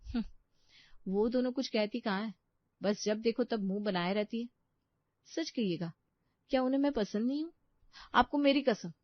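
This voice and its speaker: Woman acting a high-voiced naive young bride. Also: normal speed, long pauses, dry recording, muffled recording, natural voice. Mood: sulky, hurt. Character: naive, eager, easily slighted young new bride.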